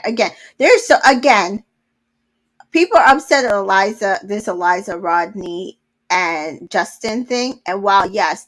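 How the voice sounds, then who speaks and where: A woman's voice: medium pitch, a bit bright, rough recording. A woman co-hosting a casual reality-show recap, starting hesitantly and then reasoning her points through. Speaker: podcast co-host.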